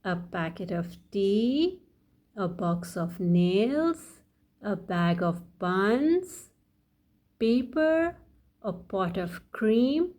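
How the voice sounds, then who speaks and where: A woman's voice: medium pitch, sing-song, extremely slow, darkish tone. A woman voicing a dutiful little boy in a children's storybook, carefully reciting his errands like a chanted list. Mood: earnest, careful.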